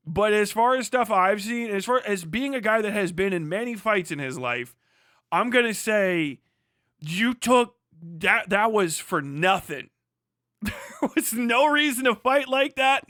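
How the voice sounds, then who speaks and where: A man's voice: high voice, pushed and bright, clear, resonant tone, dry recording, clean studio sound. A man hosting a livestream with casual, opinionated commentary, rambling and emphatic, swearing freely. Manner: rambling, heavily profane, emphatic. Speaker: livestream host.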